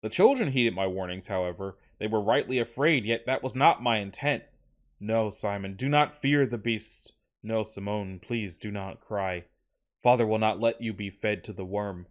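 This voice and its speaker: A man reading as a low-voiced, haunted veteran inventor. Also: normal speed, close to an everyday voice. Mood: pleading. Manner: dramatic, solemn first-person reading. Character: tormented, obsessed war-veteran inventor haunted by visions.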